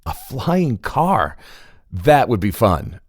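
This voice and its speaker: A man's voice: mid-range voice, very animated, slow pace, no echo, clean studio sound. A man playing an amused storyteller who chats to listeners in a children's audio story.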